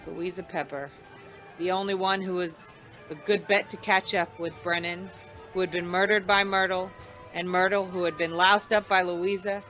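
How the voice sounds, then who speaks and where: A woman's voice: lowish voice, bright, forceful tone, rough recording. A woman playing a wry, hard-boiled insurance investigator, narrating an old-time radio noir in slangy style.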